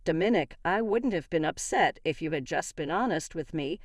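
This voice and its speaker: Female narrator as hurt, argumentative wife in audio drama story: emphatic, animated, slightly low voice. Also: normal speed, no echo, studio quality. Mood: bitter, firm. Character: wronged, indignant young wife confronting her in-laws. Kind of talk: acted dialogue in a narrated story.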